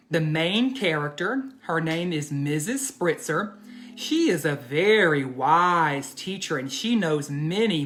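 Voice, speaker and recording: A man reading aloud and teaching for children, expressive and addressing them directly with questions. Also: on the high side. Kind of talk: children's storybook read-aloud and lesson.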